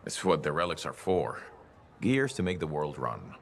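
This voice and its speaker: An actor playing a cocky, gruff mechanic freelancer in a video game, boastful, folksy and plain-spoken. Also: male voice, very deep voice, sing-song, moderate pace, a little hiss or hum, heavily acted. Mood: musing, wry. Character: cocky, gruff mechanic freelancer philosophizing about the world as machine. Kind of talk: video game dialogue acting.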